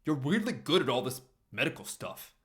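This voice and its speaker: A man voicing a gruff, blunt young jock with a guarded soft side in a story reading. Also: medium pitch, sing-song, normal speed, pitched above the performer's own voice. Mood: curious. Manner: blunt, swearing.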